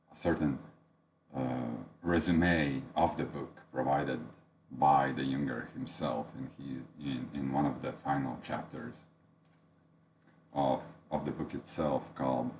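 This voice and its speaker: A man's voice: a bass voice, steady tone, slow, creaky, darkish tone, slight background noise. A man whose first language is Latvian gives a thoughtful, unedited spoken book review, searching for words as he goes.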